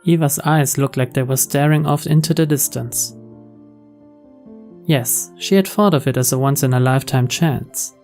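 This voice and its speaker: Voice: medium pitch, moderate pace, darkish tone. A narrator reading scripted visual novel story text. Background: background music.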